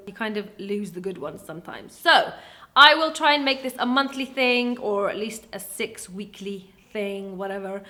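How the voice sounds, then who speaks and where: A young woman from Wales, chatting casually through a question and answer vlog. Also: on the high side. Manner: chatty, animated, acts out voices in her stories. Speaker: vlogger. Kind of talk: casual Q&A chat.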